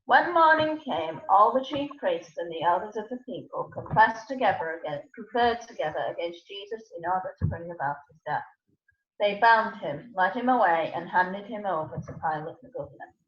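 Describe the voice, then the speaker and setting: A woman narrates a gospel drama from a script, in a mid-pitched voice. The room is echoey and the sound slightly dull, with faint noise behind. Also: expressive, normal speed.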